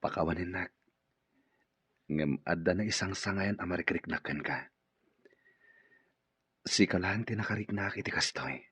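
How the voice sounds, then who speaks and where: A man's voice: low voice, moderate pace, pauses often, fairly bright tone, breathy edge, no room sound, heavily acted. A man playing a smitten, playful and earnest company boss in an Ilocano radio drama, with an Ilocano accent. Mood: tender, earnest.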